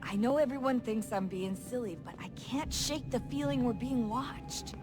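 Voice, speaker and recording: A woman's voice: fairly high pitch, normal speed, over loud music, natural voice. An actress playing an earnest, troubled young woman in a modern covert team, speaking hesitantly and sincerely in a video game. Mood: uneasy. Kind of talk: naturalistic video game acting.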